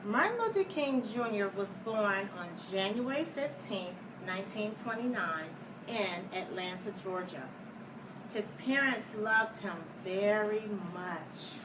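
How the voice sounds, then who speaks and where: A woman's voice: medium pitch, lively intonation. Woman reading a storybook, distant noisy mic. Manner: deliberate, explains asides to young listeners. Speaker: elementary school teacher reading aloud. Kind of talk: children's picture book read-aloud. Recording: distant mic, clear background noise.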